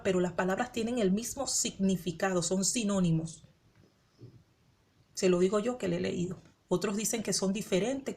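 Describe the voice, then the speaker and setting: A woman's voice: mid-range voice, darkish tone. A woman pastor from the Dominican Republic, raised in Venezuela, telling her personal testimony and acting out her own inner dialogue. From born in the Dominican Republic, raised in Venezuela. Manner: rhetorical questions to the listener.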